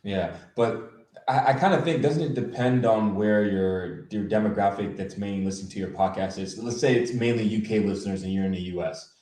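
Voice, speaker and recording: A man hosting a podcast interview, asking thoughtful questions in a relaxed, casual way. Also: lowish voice, a little fast. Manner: soft backchannels, thoughtful questions. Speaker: podcast host. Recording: roomy, distant mic.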